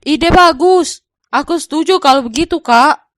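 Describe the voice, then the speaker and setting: A humble younger brother in a cartoon folktale acted by a woman. The voice sits high, with a dutiful, respectful and earnest way of speaking. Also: moderate pace, dry recording, clean studio sound, close to an everyday voice. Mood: agreeable. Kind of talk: cartoon folktale character acting.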